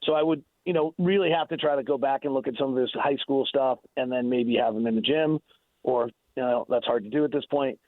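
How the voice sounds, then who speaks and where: A man's voice: mid-range voice, steady tone, fairly quick, no room sound. An American man doing unscripted sports analysis on radio, insistent and emphatic, often repeating himself, over a thin phone line. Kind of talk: unscripted sports debate and commentary.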